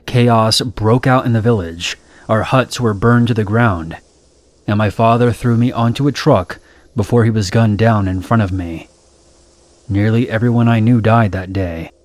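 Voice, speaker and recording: A man reading first-person horror stories in a steady, measured, somber narration. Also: fairly low pitch, no room sound.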